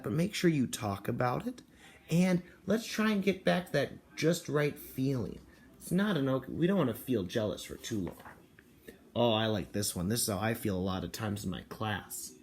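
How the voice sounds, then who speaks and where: A man speaking as a kindergarten teacher, reading and explaining to young children in an expressive, encouraging way with simple phrasing. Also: medium pitch, normal speed, a little hiss or hum. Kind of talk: picture book read-aloud and talk to children and parents.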